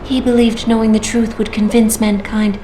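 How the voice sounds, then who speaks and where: A woman voicing a calm AI newscaster. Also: on the high side, normal speed, close to an everyday voice. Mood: composed. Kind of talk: video game dialogue. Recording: roomy game audio with music.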